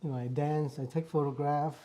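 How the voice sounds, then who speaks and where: A man's voice: on the high side, steady tone, brisk, dark tone. A man in his fifties from Vietnam, a Vietnamese accent, earnestly telling his life story as a guest. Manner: earnest, repeats phrases while recalling. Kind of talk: casual conversation.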